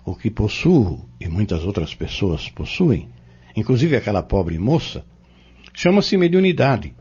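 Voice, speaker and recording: An actor playing a patient spiritist mentor in an audio drama, with a Brazilian accent and a formal, measured, preacherly delivery. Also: male voice, on the low side, very animated, mellow, slightly rough, heavily acted. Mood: earnest, instructive. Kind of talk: audio drama acting, didactic monologue. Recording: dull lo-fi audio.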